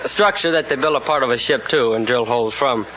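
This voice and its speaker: A man's voice: medium pitch, quick pace, crowd noise. A man in his twenties answering as a quiz show contestant on vintage radio, polite and plainspoken. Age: 20s. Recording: muffled noisy 1950 radio broadcast. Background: studio audience.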